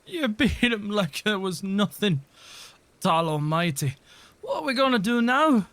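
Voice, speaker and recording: A man live-streaming a video game, chatting casually in a cheeky, expressive way and swearing freely. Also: high voice, unhurried. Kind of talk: casual gameplay stream chat with playful swearing.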